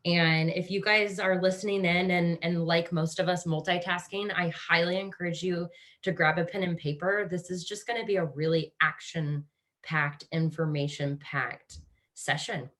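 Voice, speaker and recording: A woman's voice: on the low side, steady tone, normal speed, a bit bright. A woman from Kansas with an American Midwest accent, hosting a live online panel over a video call in an upbeat, encouraging way. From Kansas, USA. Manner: upbeat and encouraging, with frequent fillers and restarts. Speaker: webinar host.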